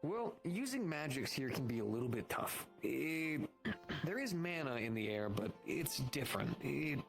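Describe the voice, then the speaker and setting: A man's voice: medium pitch, big pitch swings, a little slow, vocal fry. A man improvising in-character roleplay as a guild shopkeeper, explaining things at length in a wordy, salesman-like patter. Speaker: roleplayer running a guild shop in a social VR roleplay. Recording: music playing behind the voice. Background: music.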